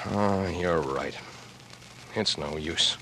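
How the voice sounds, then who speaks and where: A man's voice: sits low, extremely slow, sharp tone, full character voice. An actor playing an anxious, earnest young American man turned amateur sleuth in 1940s radio drama, speaking in terse, clipped deductive phrases. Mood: defeated, tender. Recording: noisy old radio drama recording.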